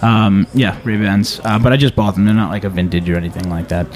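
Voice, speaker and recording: A man of medium pitch hosts a casual talk show at a fast clip. He rambles and jokes loosely as he goes. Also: fairly even intonation, darkish tone. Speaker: radio show host.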